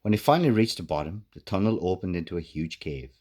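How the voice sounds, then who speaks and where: A man reading a children's storybook aloud as an expressive narrator. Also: sits low, quick pace. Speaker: author reading own children's book.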